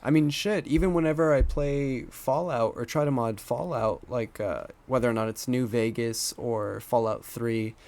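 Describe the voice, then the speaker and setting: A man with an average-pitched voice chats as a podcast co-host. His delivery is loose and informal, like talk among friends about games. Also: moderate pace, hardly any pauses, darkish tone. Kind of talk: casual chat about video games.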